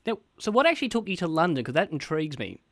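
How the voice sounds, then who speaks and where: An Australian man hosting drive-time radio, his voice sitting a bit high and swinging up and down in pitch. He teases and steers the chat with a playful lilt. The studio sound is dry and close. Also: fast talker, no room sound. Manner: lively, playful host delivery. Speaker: radio host. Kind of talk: radio interview.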